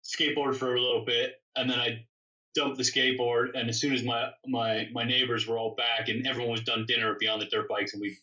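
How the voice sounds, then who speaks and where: A man's voice: medium pitch, little pitch movement, a little fast, bright, forceful tone. A man tells stories casually in a rambling, self-correcting way. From born in England, grew up in Canada. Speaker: interview guest, custom motorcycle builder. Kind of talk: casual conversational storytelling. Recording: dull, distant microphone sound.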